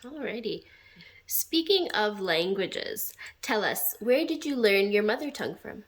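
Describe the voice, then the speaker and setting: A woman's voice: medium pitch, very animated, a little slow. A woman interviewing a man in a casual, playful way, asking easy questions and teasing him a little.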